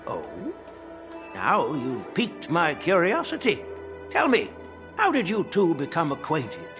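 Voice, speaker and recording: A long-lived veteran general and master smith from a fantasy game. He is jovial, humble and easygoing, his voice slightly high and swinging freely in pitch. Music plays clearly behind him. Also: huge pitch swings, a little slow, heavily acted. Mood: cheerful, curious. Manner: hearty, easygoing, informal. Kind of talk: video game story dialogue.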